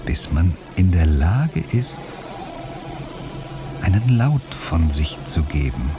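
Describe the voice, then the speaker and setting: An actor playing a helpless man trapped in slowed time, in a halting, brooding radio drama inner monologue with hushed phrase endings. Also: low-pitched, big pitch swings, noisy background, heavily acted.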